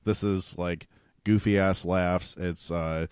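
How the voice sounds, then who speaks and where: A man's voice: low voice, steady tone, takes it slowly, a little mellow, clean tone, no echo, studio quality. A man co-hosting a podcast, chatting casually about television. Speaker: podcast co-host. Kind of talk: casual TV review chat.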